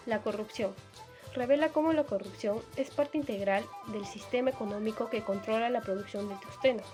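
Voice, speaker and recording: A woman narrates a scripted explanation in a fairly high voice. Soft music runs underneath her reading.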